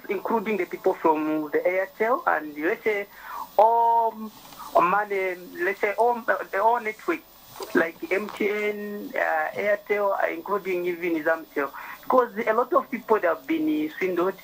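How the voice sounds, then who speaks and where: A man phoning in to a show, talking in a halting, frustrated way. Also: sits high, fairly bright tone, a little hiss or hum, poor audio. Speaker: caller. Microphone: phone line.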